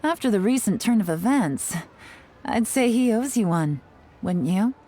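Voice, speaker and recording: A woman's voice: mid-range voice, lively intonation, unhurried, mellow. A woman playing a sly, confident secret agent in a video game, poised and playfully knowing. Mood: teasing, assured.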